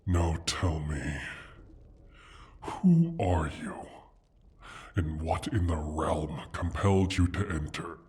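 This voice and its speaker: Casting: ancient-sounding fantasy dragon, extremely low and husky, proud, menacing, condescending, for an intimate roleplay audio. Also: male voice, animated, a little mellow, full character voice. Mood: calm menace. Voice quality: husky, breathy rumble with whispered edges. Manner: deliberate, brooding, condescending, sighing. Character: injured, proud male dragon guarding his hoard, menacing. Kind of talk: slow theatrical fantasy ASMR roleplay monologue.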